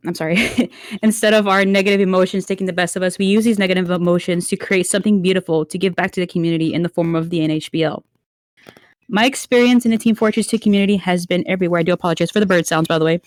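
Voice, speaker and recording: A woman, a Spanish speaker, delivers a prepared speech to her online community. She is under the weather, and her voice sounds a bit murky and dry. She talks haltingly, restarting phrases and leaning on fillers. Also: lowish voice, no echo. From Mexico. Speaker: league referee giving a speech.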